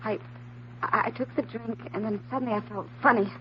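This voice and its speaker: A woman playing a frightened, naive and anxious woman in an old-time radio drama, speaking haltingly and earnestly. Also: a little high, steady tone, lightly acted. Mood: shaken, hesitant. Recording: dull, slightly noisy old radio recording.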